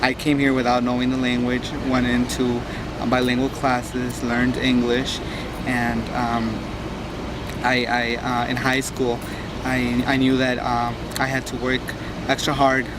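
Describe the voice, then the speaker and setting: Young man, halting testimony, flat delivery, loud street noise behind. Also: medium pitch. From Mexico. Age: young adult. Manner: hesitant, many fillers and restarts. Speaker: interviewee, student. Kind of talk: personal testimony. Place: outdoors at a rally. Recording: loud outdoor background noise.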